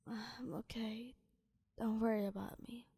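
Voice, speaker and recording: Woman as a wounded girlfriend, soft reassuring whisper, Arabic-tinged accent, mellow and slow. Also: on the high side, level tone, gravelly, slightly rough, full character voice. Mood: tender. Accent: Arabic-tinged English. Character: hurt young woman, gentle lover.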